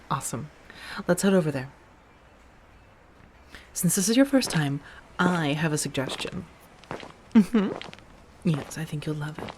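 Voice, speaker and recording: Woman acting as a playful vampire girl roommate, low soft voice, chatty asmr roleplay, bit of noise behind her. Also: animated, measured pace, long pauses, rough recording. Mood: playful, warm. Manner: gentle, chatty, teasing, with soft murmurs and breathy asides.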